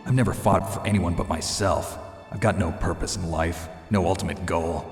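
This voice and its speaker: Male actor as weary mercenary, deep gruff voice, stern and clipped, game cutscene, echoey reverb. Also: moderate pace, close to an everyday voice. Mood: bleak, weary. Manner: terse, stern, deadpan. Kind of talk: dramatic video game voice acting. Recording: echoey hall reverb under game music.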